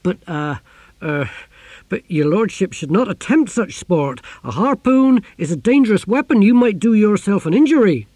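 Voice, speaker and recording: A man voicing a bluff, talkative ship's captain in an audiobook, loud, deferential and nervously obliging. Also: high voice, big pitch swings, normal speed, dry recording, pitched above the performer's own voice. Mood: anxious.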